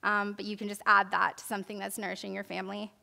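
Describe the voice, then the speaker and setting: A woman's voice: mid-range voice, completely flat delivery, fast talker, creaky, bright, forceful tone. A young woman giving an informal herbalism lesson in a church hall, reading from notes and then demonstrating, with many fillers and restarts. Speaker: herbalism class presenter. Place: church hall stage, large indoor room.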